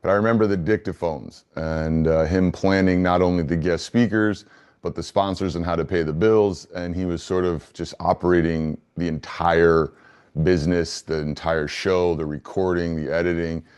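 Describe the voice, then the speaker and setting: Deep, smooth-voiced man giving interview answers. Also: steady tone, normal speed, darkish tone. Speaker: interview guest, business founder.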